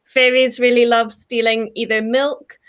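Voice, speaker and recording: A woman's voice: fairly high pitch, flat delivery, unhurried, smooth. A woman who co-hosts a folklore podcast, chatting in an enthusiastic, reflective way with frequent ums. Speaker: folklore podcast co-host, guest. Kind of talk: casual chat.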